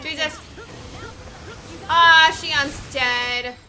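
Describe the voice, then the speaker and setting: A woman's voice: high-pitched, extremely slow, bright, forceful tone. A woman giving casual, informal, mildly sweary commentary while she plays a video game. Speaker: let's player. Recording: game music behind voice. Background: video game music and battle audio.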